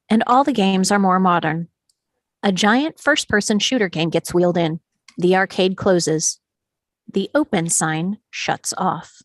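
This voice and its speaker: A woman's voice: mid-range voice, no room sound, studio quality. A woman narrating scripted stage directions at a screenplay table read.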